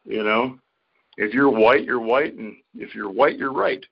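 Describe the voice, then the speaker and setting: Gravelly, crude man on a podcast. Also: mid-range voice, unhurried. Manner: crude, heavy swearing. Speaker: podcast co-host. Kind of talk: casual chat. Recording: dull lo-fi audio.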